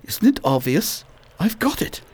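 Woman as worn-down male plague fighter, low and dry, animated intonation, amateur radio drama. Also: a little slow, breathy edge, no room sound. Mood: weary. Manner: low, tired, wry. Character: weary Oxford-educated man fighting plague.

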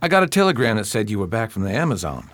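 A man's voice: lowish voice, very animated, racing, a bit bright, no room sound, clean studio sound. A man playing an earnest, determined, rather formal investigator in an amateur radio drama. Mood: probing.

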